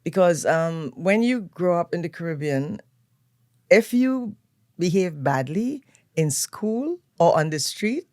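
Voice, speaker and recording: A woman's voice: on the low side, lively intonation, slow, darkish tone, dry recording. Older Trinidadian woman, dry studio voice. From Trinidad and Tobago. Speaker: guest, event planner. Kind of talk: relaxed storytelling interview. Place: radio studio.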